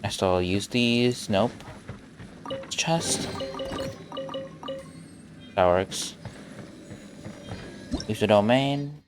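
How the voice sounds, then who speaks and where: A man's voice: lowish voice, very slow, long pauses, music in the background. A man streaming a game, giving casual, muttered short reactions and commentary with gamer slang.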